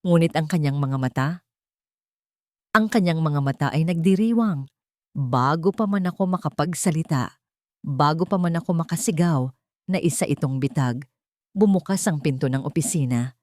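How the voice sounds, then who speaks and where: A woman narrates a dramatic first-person story. Her voice sits on the low side and she delivers the script in measured, short phrases. The recording is close and dry, with very little room sound. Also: mellow, no echo.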